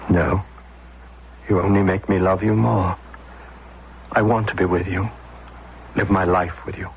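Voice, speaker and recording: A devoted, hesitant man in a radio drama. His voice is deep with a gravelly fry, and he speaks earnestly and haltingly. The recording is muffled, with music behind. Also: steady tone, a little hiss or hum. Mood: ardent. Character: lovesick, hesitant, sober-sided Philadelphia man devoted to his sweetheart.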